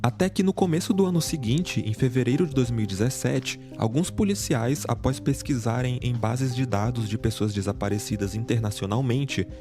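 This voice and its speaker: A man giving a scripted, measured true-crime narration. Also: medium pitch. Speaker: narrator of the reacted video. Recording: music bed under narration. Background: background music.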